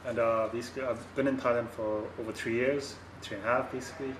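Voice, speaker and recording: A man's voice: mid-range voice, steady tone, fast talker, background noise. Hesitant young man from Bhutan. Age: young adult. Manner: hesitant, many fillers and "you know". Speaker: student. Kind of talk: casual chat. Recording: echoey room, far from the mic.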